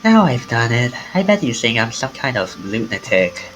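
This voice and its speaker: A man's voice: medium pitch, big pitch swings, normal speed, fairly bright tone, faint music behind, low-quality recording. A man voicing a playful, eager young woman who hunts occult legends in a visual novel.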